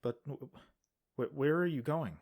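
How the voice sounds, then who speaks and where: A man's voice: a little high, a little slow, darkish tone. A man acting as an anxious, idealistic young healer and worried brother in a fantasy audio drama, hesitant and stammering.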